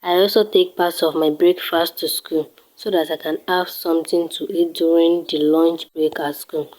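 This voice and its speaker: A teenage girl with a Nigerian accent, narrating a tour of her home and her daily routine in a careful, measured way. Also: on the low side, level tone, a little mellow, low-quality recording. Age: teens. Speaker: student showing her home.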